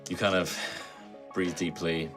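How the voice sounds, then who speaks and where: A man with a very deep voice runs a horror tabletop game. He narrates in measured, descriptive phrases over clearly audible music. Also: flat delivery. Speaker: game master. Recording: clear music bed behind.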